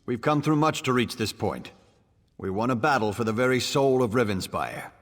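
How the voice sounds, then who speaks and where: An actor playing a proud, ambitious, firm-handed baron in a fantasy video game, speaking formally and resolutely. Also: male voice, medium pitch, expressive, normal speed, lightly acted. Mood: businesslike. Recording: echoing hall-like reverb.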